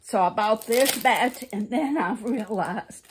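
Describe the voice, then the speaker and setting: Slow-talking woman showing off a craft kit. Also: a little high, noisy background. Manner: slow, rambling, self-correcting. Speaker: crafting vlogger. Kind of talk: casual show-and-tell chat. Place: home, seated indoors. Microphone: phone camera pointed down at her lap.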